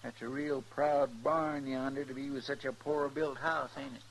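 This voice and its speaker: An American actor playing a folksy, deferential deputy sidekick with a rural drawl in an old radio western. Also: male voice, mid-range voice, steady tone, normal speed, lightly acted. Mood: curious. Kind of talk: 1950s radio western acting. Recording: noisy old radio recording.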